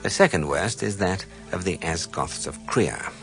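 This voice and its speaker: A man's voice: low-pitched, unhurried, gravelly, faint music behind, exaggerated voice. A man playing a dry, erudite guidebook narrator in a radio comedy, with an English accent. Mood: deadpan. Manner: deadpan, erudite.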